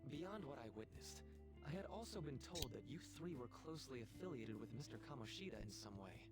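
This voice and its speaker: A man voicing an earnest, polite counselor and researcher in scripted game dialogue, speaking in a measured, formal way. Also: mid-range voice, very animated, over loud music, close to an everyday voice. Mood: sincere confession.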